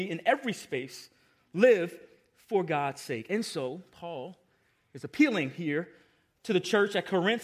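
American guy in his 40s preaching, pretty high voice, really emphatic, drops quiet then gets loud. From Long Island, New York, United States. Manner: emphatic, repeating a refrain, swinging from hushed asides to loud exclamations. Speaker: pastor preaching. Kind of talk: sermon.

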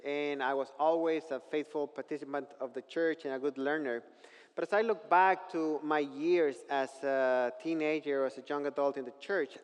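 Preacher, male, Mexican accent, native Spanish speaker, slightly high voice, measured and earnest explaining, long hall reverb. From northern Mexico. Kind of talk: sermon with scripture reading.

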